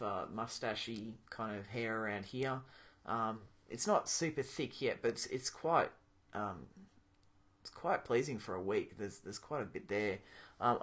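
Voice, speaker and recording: A young man talking casually to his camera in a video diary, pausing often with ums and restarting his sentences. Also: mid-range voice. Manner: relaxed, thinking aloud with many ums and restarts. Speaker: vlogger.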